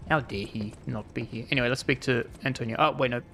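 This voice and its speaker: Streamer type, gravelly voice, rambling and jokey over the game, faint music. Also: male voice, medium pitch, fast talker, sharp tone. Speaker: gaming streamer. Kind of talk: casual gameplay commentary.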